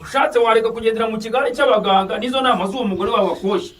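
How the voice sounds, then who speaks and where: A man's voice: high-pitched, racing, a bit bright, a little hiss or hum. A man playing a mocking, sceptical villager in a radio drama, teasing and scornful of others' views. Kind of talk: Kinyarwanda radio drama acting.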